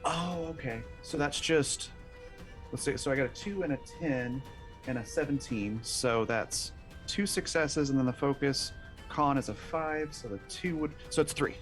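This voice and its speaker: A man's voice: medium pitch, moderate pace. A man playing a tabletop role-playing game, talking casually as he works through his rolls. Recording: faint music behind the voice.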